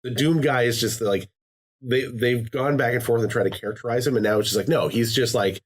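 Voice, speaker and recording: A man's voice: medium pitch, brisk, husky. A man hosting a podcast in casual chat, swearing freely and often slipping into quoted voices. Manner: animated, profane, jumps into quoted voices. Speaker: podcast host.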